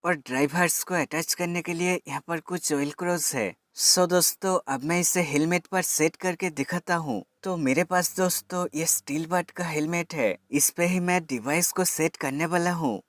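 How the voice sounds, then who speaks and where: A man's voice: fairly high pitch, normal speed, few pauses, a bit bright, no room sound. A man presenting a tech product demonstration, explaining step by step in an instructional way and addressing viewers as friends. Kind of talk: product demonstration and how-to voice-over.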